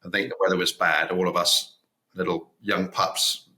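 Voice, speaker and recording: A man's voice: low voice, flat delivery, moderate pace, bright, forceful tone. A man in his forties, a pilot hosting a casual interview, talking in a friendly, rambling way. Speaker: podcast host, pilot.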